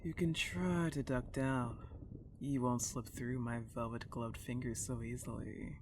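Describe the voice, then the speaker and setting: A woman playing a dark, possessive older vampire countess in an intimate ASMR roleplay, teasing and condescending as she lingers on her words. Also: very deep voice, fairly even intonation, measured pace, background noise. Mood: teasing, gloating.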